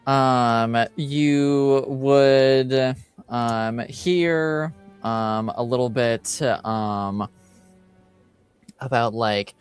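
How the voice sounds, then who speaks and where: A man narrating an improvised tabletop roleplaying game as game master, halting as he searches for words. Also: medium pitch, steady tone, smooth, faint music behind.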